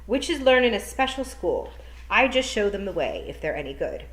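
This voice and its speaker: A woman voicing a sharp, dry, teasing witch who lectures a child. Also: medium pitch, very animated, normal speed. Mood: arch. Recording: echoey room.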